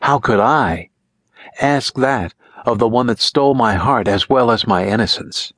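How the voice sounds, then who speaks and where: A man voicing a vengeful, heartbroken young witch who chants her curse slowly and solemnly. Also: medium pitch, a bit bright, breathy edge, no echo, studio quality. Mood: bitter. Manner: slow solemn incantation.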